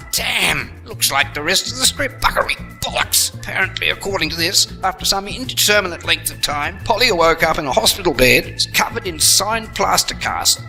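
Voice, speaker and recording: A man playing a weary, foul-mouthed narrator fed up with his comedy audio drama, grumbling in deadpan asides. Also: high-pitched, vocal fry, long reverb tail, heavily acted, poor audio.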